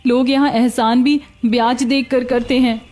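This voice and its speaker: A woman voicing a hungry young beggar woman in a cartoon story, plaintive and quick to retort. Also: on the high side, level tone, darkish tone, natural voice. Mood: sharp, cheeky. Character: hungry young beggar woman, pleading yet sharp-tongued. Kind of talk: Hindi cartoon story acting.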